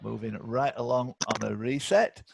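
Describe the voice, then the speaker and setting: A man's voice: mid-range voice, fairly even intonation, moderate pace, vocal fry, background noise. A British man hosting a casual live online quiz, chatting and bantering between questions. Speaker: quiz host. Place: indoors, on a video call.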